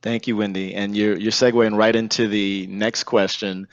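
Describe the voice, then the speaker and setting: A man's voice: lowish voice, little pitch movement, normal speed, muffled recording. A man moderating a panel discussion as host, with an encouraging, appreciative manner.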